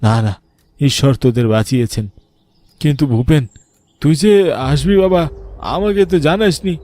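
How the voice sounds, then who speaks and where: A man's voice: fairly high pitch, unhurried, dark tone, no room sound, close to an everyday voice. A man voicing a protective older uncle in a cartoon story, with a grave, admonishing manner. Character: concerned older uncle, stern yet protective.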